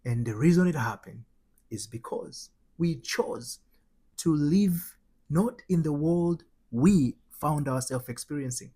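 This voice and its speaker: Husky Tanzanian man teaching with emphasis. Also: fairly high pitch, slow, warm tone. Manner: emphatic, rhetorical questions, repeats phrases. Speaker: host, spiritual transformation coach. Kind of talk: motivational spiritual teaching with personal anecdotes.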